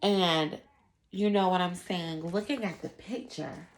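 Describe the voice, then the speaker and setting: A woman's voice: lowish voice, steady tone, a little slow, rough recording. A woman schoolteacher reading aloud and teaching children, instructive and emphatic, addressing them directly. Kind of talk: children's read-aloud lesson.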